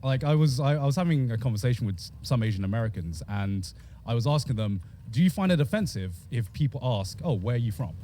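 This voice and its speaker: A man's voice: mid-range voice, expressive, fast talker, warm tone, slight background noise. A man chatting casually, rambling and repeating himself as he talks. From England. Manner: rambling, repeats words, laughs often. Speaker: streamer. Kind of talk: casual chat.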